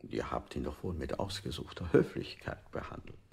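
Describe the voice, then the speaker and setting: An actor playing a controlling interrogator in a 1960s experimental radio play, probing and insinuating with menacing politeness. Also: male voice, very deep voice, animated, moderate pace, gravelly, a little mellow, exaggerated voice.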